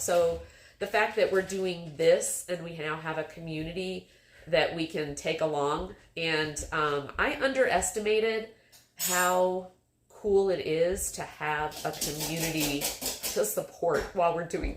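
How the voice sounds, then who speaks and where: A woman's voice: fairly low pitch, unhurried, slight background noise. A woman in her fifties chatting casually as a livestream host, rambling with many fillers. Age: 50s. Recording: echoey room with background music.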